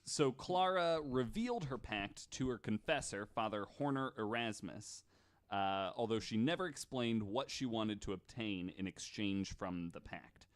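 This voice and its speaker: A man's voice: on the low side, animated, measured pace, no room sound. A man hosting a podcast, casually retelling stories with wry joking asides. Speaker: podcast host.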